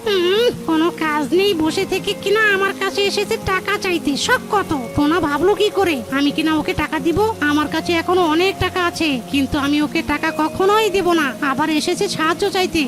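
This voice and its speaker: A woman voicing a stingy, two-faced male bird neighbour in a cartoon, smooth-talking and grudging. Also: extremely high pitch, fairly quick, hardly any pauses. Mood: scornful. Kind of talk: Bengali cartoon voice acting.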